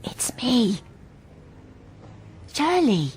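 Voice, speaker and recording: A woman's voice: a little high, big pitch swings, drawn-out words, darkish tone, husky, exaggerated voice. A woman playing a timid, anxious wife in a radio drama, speaking hesitantly with stammering restarts, both in dialogue and intimate narration. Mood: guarded, hushed. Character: anxious, timid wife who has turned invisible.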